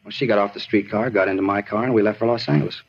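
Rambling, earnest American crime suspect, low and fast, vintage radio drama. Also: male voice, fairly even intonation, breathy edge. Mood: wistful, rambling. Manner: rambling, repetitive, insistent, plain-spoken. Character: talkative murder suspect, earnest, aggrieved, disturbed man. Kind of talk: 1950s radio drama acting. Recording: dull vintage radio recording.

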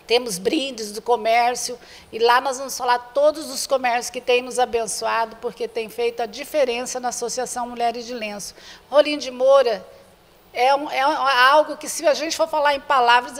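Older woman, Brazilian accent, fairly high pitch, earnest, repetitive, interview guest, reverberant indoor room. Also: steady tone, moderate pace. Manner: earnest, repetitive, addresses viewers directly. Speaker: association president, interview guest. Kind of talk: spoken interview inviting the public to a charity event. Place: indoor room with bare walls. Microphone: handheld reporter's microphone held before her.

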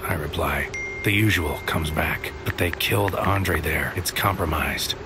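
Deep-voiced brooding narrator, solemn. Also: male voice, very noisy. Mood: weary, bleak. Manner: solemn, deliberate, poetic. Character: brooding, fatalistic man narrating his bleak inner world. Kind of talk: scripted audio drama monologue.

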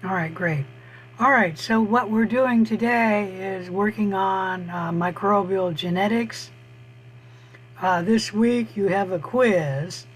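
A middle-aged man lectures over a video call, his voice hoarse and pitched rather high. He talks slowly, stopping often to search for words. A faint hum sits under the recording. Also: fairly bright tone. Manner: slow, halting, with frequent "uh" pauses. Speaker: college biology instructor. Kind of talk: online lecture over screen share. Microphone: computer mic on a video call.

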